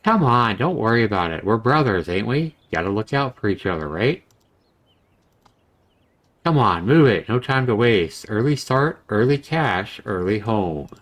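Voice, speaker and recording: A man acts out a cocky young male adventurer in a game story, always angling for money. His delivery is slangy and cajoling, pitched a little above the reader's low natural talk. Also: big pitch swings, a little slow, a bit bright, exaggerated voice. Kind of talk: visual novel character reading.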